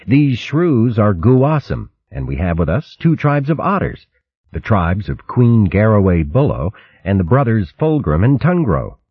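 A steadfast warrior mouse played by a man in a low, earnest, respectful voice. It is the reader's own low husky tone, lively in pitch. The recording is dry and slightly muffled. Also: unhurried, dry recording, exaggerated voice.

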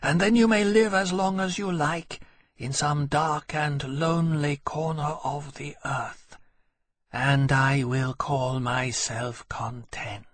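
A man voicing a cool, disdainful female dragon who speaks slowly and bitterly. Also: on the high side, lively intonation, slightly rough, no echo, voice pushed up. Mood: menacing. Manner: cool, contemptuous, slow and measured.

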